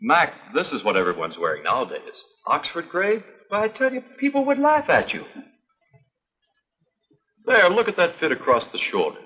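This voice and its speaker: A man's voice: a little high, huge pitch swings, moderate pace. A pushy, brash salesman. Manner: overbearing sales patter. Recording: dull old film soundtrack.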